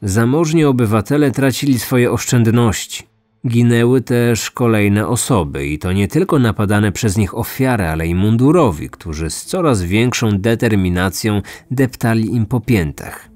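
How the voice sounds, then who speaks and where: A man narrating a scripted true crime story as host, in a measured, serious manner. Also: lowish voice, animated, darkish tone, no echo. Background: music.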